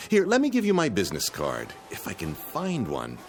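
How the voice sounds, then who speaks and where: A fussy, pompous freeloader in a radio play. His pitch swoops wildly through elaborately polite, formal phrases, with light music behind him. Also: wildly swooping pitch, normal speed. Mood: flustered, smooth-talking. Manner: overly polite, formal, theatrical. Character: fussy, pompous, penny-pinching male freeloader. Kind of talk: radio drama acting.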